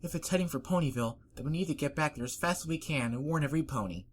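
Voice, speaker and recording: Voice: medium pitch, rapid-fire, slight background noise, voice pushed up, close to an everyday voice. A reader voicing a sensible female unicorn pony who takes charge earnestly in a fan story. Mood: urgent.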